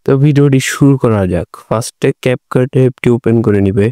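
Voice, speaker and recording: Guy doing a casual tutorial voice over, clean smooth voice, really dry sound. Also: mid-range voice, a little fast, dark tone, no room sound. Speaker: tutorial host.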